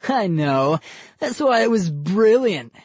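A cocky prankster boy, as a narrator acts him out, mocking his gullible neighbor. The voice sits well above the reader's own and moves a lot. Dry and a little husky. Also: medium pitch, very animated, takes it slowly, darkish tone, no echo, voice pushed up, heavily acted.

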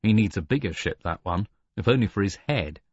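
A man's voice: lowish voice, animated, brisk, a little mellow, slightly rough, no echo, dull audio. A man playing a wry young midshipman who speaks in an understated murmur, in an audiobook. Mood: wry, quiet.

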